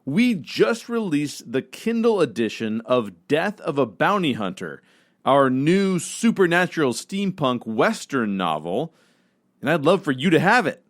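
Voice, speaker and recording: Animated male podcast co-host reading a promo. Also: on the high side, a little slow.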